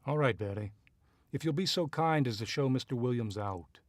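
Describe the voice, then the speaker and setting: An actor playing a cool, curt private investigator who talks and narrates in a businesslike 1940s radio noir style. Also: male voice, medium pitch, lively intonation, normal speed, no echo, studio quality, close to an everyday voice. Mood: cool, narrating. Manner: clipped, businesslike, unruffled.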